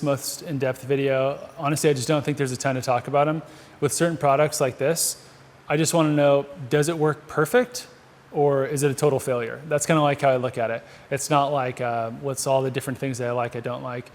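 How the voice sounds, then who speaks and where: A man with a mid-pitched voice gives a casual, unscripted gear walkthrough. He speaks informally and conversationally, like a producer chatting with friends on set. His voice rings with long reverb from a large indoor space. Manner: informal, conversational, with buddy-style slang. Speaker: video producer and gear reviewer.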